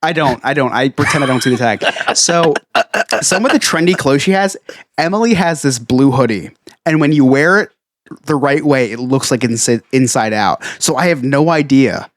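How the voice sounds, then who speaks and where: A man's voice: mid-range voice, lively intonation, moderate pace, dry recording, studio quality. A man with a New Jersey accent, co-hosting a casual podcast, a bit tipsy, rambling and self-mocking and laughing often. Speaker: podcast co-host. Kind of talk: casual chat.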